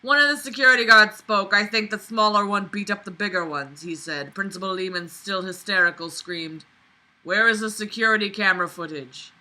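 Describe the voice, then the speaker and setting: A woman's voice: medium pitch, fairly quick, sharp tone. A woman reading a book aloud and breaking in with sarcastic, indignant, sweary commentary. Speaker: vlogger reading and critiquing a novel.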